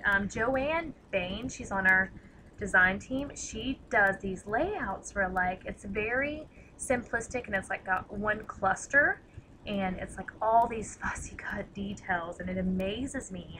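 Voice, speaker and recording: A woman with a tired, slightly raspy voice chatting casually through a craft tutorial, rambling and self-deprecating as she works. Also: medium pitch, pushed and bright, slight background noise, poor audio. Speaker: craft demonstrator.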